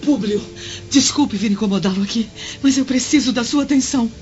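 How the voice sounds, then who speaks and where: A woman's voice: fairly high pitch, brisk, darkish tone, natural voice. Woman acting an anxious senator's wife, muffled sound. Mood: anxious, apologetic. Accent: Brazilian Portuguese. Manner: apologetic, earnest. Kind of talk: Brazilian radio drama acting. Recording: dull lo-fi radio drama with music.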